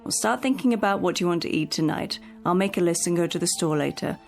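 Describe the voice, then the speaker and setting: An actress playing a patient, practical housekeeper in a radio drama, with a soothing, coaxing manner. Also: female voice, on the low side, expressive, fast talker, gravelly, darkish tone, faint music behind. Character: kindly, patient, practical female daily housekeeper.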